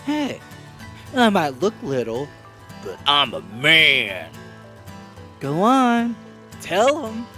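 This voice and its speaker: Man acting a whiny, cocky youth with swooping pitch. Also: extremely slow, long pauses, faint music behind, heavily acted. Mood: indignant. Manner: excitable, complaining, slangy. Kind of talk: audio drama acting.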